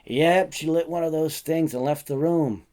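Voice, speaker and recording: A man's voice: a little high, moderate pace, warm tone, natural voice. A man voicing a laid-back, drowsy, wry friend in a short story, talking in an offhand, hesitant way. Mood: wry, resigned.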